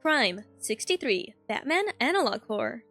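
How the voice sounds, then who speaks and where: A woman's voice: fairly high pitch, very animated, moderate pace, a bit bright, soft background music. A woman hosting a video analysis, narrating a script in a casual, conversational way with dry joking asides to viewers. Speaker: host.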